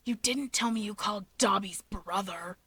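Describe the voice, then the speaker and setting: A woman's voice: medium pitch, normal speed, pushed and bright, breathy edge, no echo. A woman voicing a sullen, defensive young male villain with a hissed, whispery delivery in a story.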